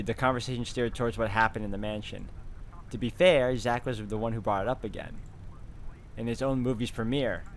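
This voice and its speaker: A man's voice: mid-range voice, a bit bright, slight background noise. A man hosting a game walkthrough, reading story text aloud and commenting casually.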